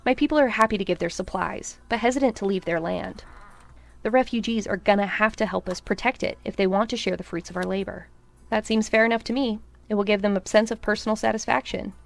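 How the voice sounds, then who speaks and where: A woman playing a pragmatic female leader in an audio drama, measured and commanding. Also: medium pitch, creaky, faint music behind, lightly acted. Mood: firm, approving.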